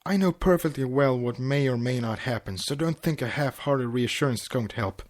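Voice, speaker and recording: A man's voice: medium pitch, a little fast, close to an everyday voice. A man voicing an irritable, blunt female pony who is straining through labour in a read-aloud story. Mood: irritated. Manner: strained, snappy, exclamatory.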